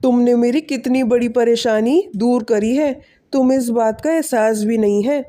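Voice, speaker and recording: A woman voicing a kindly, lonely older village man in an animated moral story, earnest and fatherly. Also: fairly high pitch, moderate pace, close to an everyday voice. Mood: fatherly, grateful.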